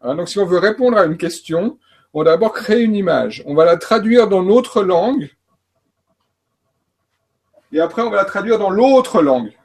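A man's voice: high voice, animated, moderate pace, pauses often. A man who works as a coach and trainer, explaining learning methods in a panel talk, with frequent fillers and restarts. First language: French. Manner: hesitant, many fillers and restarts.

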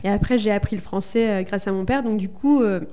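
A young woman singer answers radio interview questions with a mellow, dark-toned voice. She is hesitant, filling her answers with pauses and small fillers, and she laughs easily. She is from Germany and sounds relaxed at a middle pitch. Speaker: singer in a folk duo.

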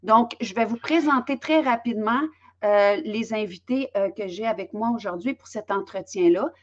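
A woman's voice: mid-range voice, normal speed. Hesitant Belgian-born woman host, muffled audio. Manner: hesitant, many fillers and restarts. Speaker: host and interviewer. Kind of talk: introducing an online interview. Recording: slightly dull lo-fi audio.

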